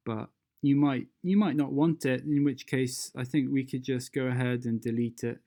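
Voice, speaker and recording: A software tutorial presenter talking through steps unscripted and hesitantly, thinking aloud while demonstrating. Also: medium pitch, fairly even intonation, normal speed.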